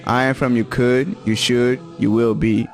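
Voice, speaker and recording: A young man from Tanzania reciting a spoken word piece in a measured, earnest way. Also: mid-range voice, flat delivery, heavy reverb, recorded from a distance. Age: young adult. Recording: music playing underneath.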